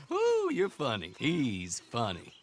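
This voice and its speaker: An actor playing a chatty, shifty backwoods horse trader with an American Southern accent, teasing and sly in a game cutscene. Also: male voice, a little high, exaggerated voice. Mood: teasing, needling. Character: folksy, shifty backwoods horse fence, chatty twin brother. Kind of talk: dramatic game cutscene acting.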